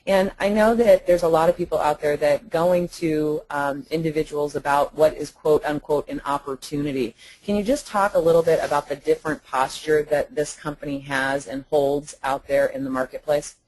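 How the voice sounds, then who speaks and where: A woman's voice: sits low, fairly even intonation, normal speed, hardly any pauses, darkish tone, rough recording. Agreeable woman hosting a business call. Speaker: conference call host.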